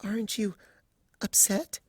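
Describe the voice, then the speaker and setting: A timid young female pony from a storybook acted out by a narrator, pitched well above the reader's own voice. She is gentle and anxious, stammering hesitantly in a dry recording. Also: steady tone, takes it slowly, breathy edge, no echo. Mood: worried. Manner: hesitant, stammering, soft-spoken. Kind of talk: storybook character voicing.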